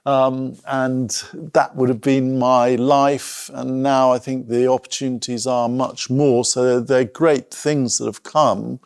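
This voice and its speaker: A middle-aged man giving an informal public talk in a reflective, hesitant way, pausing as he thinks aloud. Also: medium pitch, little pitch movement, darkish tone, clean tone, no echo, studio quality. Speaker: art school director giving a talk.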